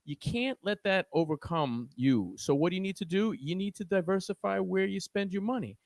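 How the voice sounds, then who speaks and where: A man's voice: high-pitched, lively intonation, moderate pace, vocal fry, dry recording. A man with a New York accent speaking as a guest organizer in an impassioned podcast discussion, earnest and preachy, often restarting his words.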